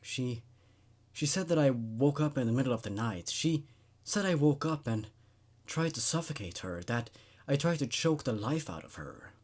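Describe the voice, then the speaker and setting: A man's voice: medium pitch, lively intonation, normal speed, natural voice. A man voicing a tormented, unhinged male patient in a horror story, intense, strained and accusatory. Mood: desperate.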